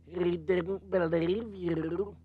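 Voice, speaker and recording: An actor playing a dim, timid, vain villain's sidekick, with a husky voice and a childlike, gormless manner. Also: male voice, high voice, steady tone, extremely slow, phone-quality sound, full character voice. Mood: dim, anxious.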